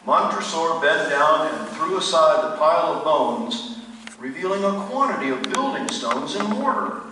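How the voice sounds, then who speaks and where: An actor playing a grave narrator, reading a macabre tale as scripted drama in a radio play. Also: male voice, fairly high pitch, animated, moderate pace, pushed and bright. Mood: suspenseful. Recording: echoey hall, far from mic, faint noise.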